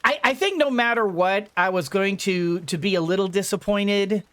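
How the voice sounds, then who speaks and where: A woman gently thinking aloud as she plays a farming game for her channel, her throat a little gunky today. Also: medium pitch, normal speed. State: slightly congested throat. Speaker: gaming YouTuber. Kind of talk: let's-play commentary.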